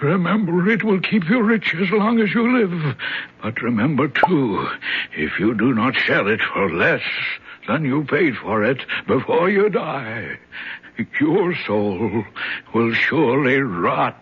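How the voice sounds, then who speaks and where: An actor playing a grave, husky-voiced seller of a cursed object, solemn and warning in a 1970s radio drama. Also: male voice, medium pitch, lively intonation, slow pace, full character voice. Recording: dull old radio broadcast.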